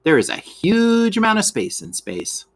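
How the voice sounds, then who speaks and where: A man reading a scripted educational lesson aloud for children, as a teacher, in an expressive way that speaks straight to the listeners. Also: high voice, a little slow. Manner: expressive, addresses listeners with questions.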